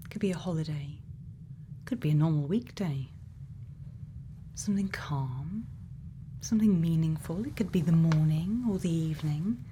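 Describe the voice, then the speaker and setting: Female ASMR performer, caring scientist role, very unhurried and soothing, soft half-whispered delivery, faint noise behind. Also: fairly low pitch. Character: sweet, caring scientist speaking to a robot friend. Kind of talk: soft-spoken ASMR roleplay, often whispered.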